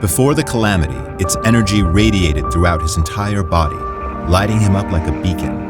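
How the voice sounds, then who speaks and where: Very deep male voice, dramatic fiction narrator, scripted delivery, loud music bed. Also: fairly quick. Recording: music behind the voice.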